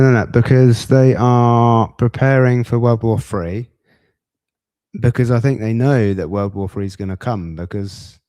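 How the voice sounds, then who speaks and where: A man's voice: fairly low pitch, a little mellow, dry recording, studio quality. A man giving off-the-cuff podcast commentary, musing conversationally and adding tag questions.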